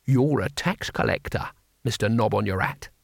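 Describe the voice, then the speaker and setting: A man's voice: lowish voice, normal speed, creaky, dry recording, clean studio sound. A man voicing a sly old barbarian with mock-friendly menace in a comic fantasy audiobook. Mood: menacing. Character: menacing, grinning old barbarian with diamond teeth.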